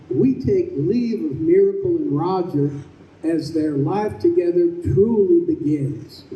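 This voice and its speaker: A man playing a remorseful dead husband who narrates his own story in an old-time radio play, with measured, folksy delivery. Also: on the high side, dull audio. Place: convention hall stage. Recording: echoey hall, distant microphone.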